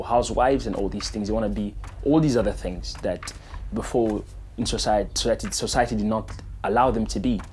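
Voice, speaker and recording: A young man from the Democratic Republic of the Congo, speaking earnestly and reflectively about his life, often pausing with "um" and "you know". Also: lowish voice, darkish tone, slight background noise. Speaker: interviewee. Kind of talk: personal reflection to camera.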